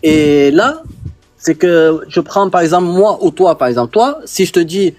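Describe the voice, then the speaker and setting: A man's voice: on the high side, lively intonation, normal speed, a little mellow, soft background music. A man whose first language is Arabic, explaining religious ideas in a live debate, hesitant with many fillers and repeated words. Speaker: guest debater.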